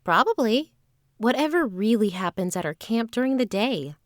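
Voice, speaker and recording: A woman playing a calm, self-assured traveling performer in an audio drama, with formal, composed phrasing. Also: fairly high pitch, normal speed, no echo, clean studio sound, close to an everyday voice. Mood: dry, assured.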